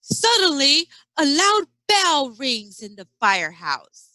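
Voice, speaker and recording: A woman's voice: sits high, sing-song, takes it slowly, sharp tone, dry recording, rough recording. A woman teacher reading a children's picture book aloud expressively, with chatty asides and questions to young listeners. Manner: acts out sound effects and exclamations. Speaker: early education teacher.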